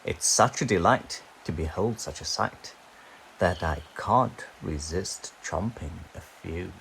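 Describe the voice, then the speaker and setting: Man as a low, sly, hungry crocodile. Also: takes it slowly, gravelly, noisy background, heavily acted. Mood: sly relish.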